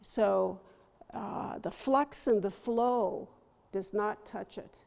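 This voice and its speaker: Soft-voiced older woman, slow, gravelly. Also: mid-range voice. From Toronto, Canada. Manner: slow, storytelling, gently humorous. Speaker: artist. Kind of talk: artist talk before a live audience. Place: gallery hall. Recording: long hall reverb.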